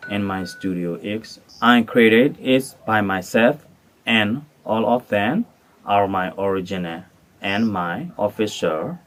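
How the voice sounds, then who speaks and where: An English-speaking man from Thailand, making a formal, careful prepared appeal as a channel creator. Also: fairly low pitch. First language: not English. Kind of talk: prepared spoken appeal to camera.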